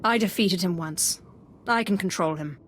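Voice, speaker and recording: An actress playing a young, self-assured Sith apprentice in a video game, with a clipped, defiant manner. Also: female voice, fairly low pitch, normal speed, roomy sound, big-room sound, slight background noise, natural voice. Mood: confident, defiant. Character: young confident Sith apprentice woman, former slave.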